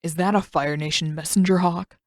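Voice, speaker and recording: Woman voicing stern, stiff old master, lowered pitch. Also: fairly even intonation, darkish tone, dry recording, studio quality, close to an everyday voice. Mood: stern, suspicious. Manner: formal, stiff, halting.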